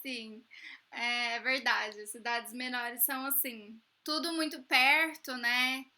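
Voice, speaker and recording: Young chatty woman host, high and very bright voice. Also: fairly even intonation, slow. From Brazil. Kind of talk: casual chat.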